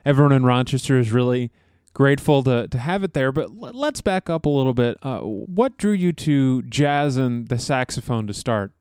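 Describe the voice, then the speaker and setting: A man's voice: medium pitch, animated, moderate pace, darkish tone, clean tone, no echo, studio quality. A man hosting a podcast and interviewing a musician in a friendly, chatty way. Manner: friendly, chatty, laughs easily. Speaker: podcast host. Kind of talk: conversational interview.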